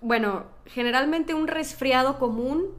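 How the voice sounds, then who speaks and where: A woman's voice: high voice, a little slow. A woman with a Mexican accent, a doctor explaining things in casual podcast conversation.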